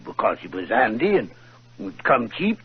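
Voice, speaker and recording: A blustering red-headed shopkeeper. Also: male voice, mid-range voice, normal speed, husky, heavily acted. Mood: telling. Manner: bluff, excitable, folksy speech. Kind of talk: 1940s radio acting. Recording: muffled 1940s radio broadcast.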